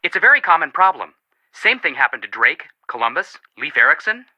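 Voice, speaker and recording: A playful young male detective from a video game. His voice is very bright and animated, with a goofy, wisecracking delivery. The sound is slightly dulled, as on a phone call. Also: fairly high pitch, a little fast, no room sound, heavily acted. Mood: joking.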